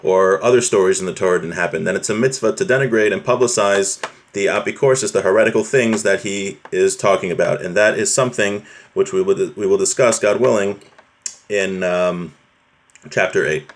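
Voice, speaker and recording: A man's voice: low-pitched, a little fast, slight background noise. A man teaching an informal religious class, reading from a text and explaining it conversationally, often checking in with his listeners.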